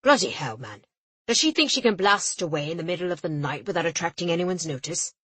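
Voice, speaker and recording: A man's voice: on the high side, measured pace, pushed and bright, husky, no room sound, pitched below the performer's own voice, exaggerated voice. A woman voicing a pompous, blustering male port official with an English accent and a clipped, fussy manner. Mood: blustering, indignant.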